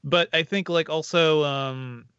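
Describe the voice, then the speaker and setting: A man's voice: fairly high pitch, steady tone, takes it slowly, fairly bright tone, smooth, no room sound. A man on a podcast chiming in agreeably about a film in a casual way. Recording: dull, lo-fi sound.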